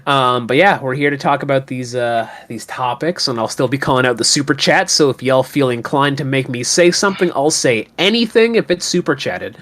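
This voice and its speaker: A man's voice: mid-range voice, a little fast, few pauses, fairly bright tone. A man co-hosting a podcast, stuffed up and a little nasal from a cold, casually reading out viewer messages. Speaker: co-host reading super chats.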